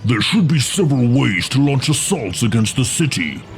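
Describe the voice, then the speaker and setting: Gravelly orc warrior, swooping pitch. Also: male voice, sing-song, moderate pace, a little hiss or hum. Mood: dutiful. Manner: military, formal reporting. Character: dutiful orc warrior reporting to his war chief. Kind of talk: video game character acting. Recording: loud music under the voice.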